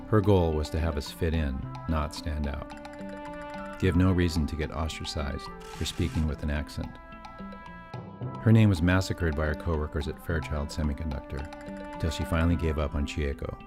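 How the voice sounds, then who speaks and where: Very deep-voiced man narrating wryly. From California. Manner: wry, self-deprecating asides. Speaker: narrator of his own family story. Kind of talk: scripted personal documentary narration.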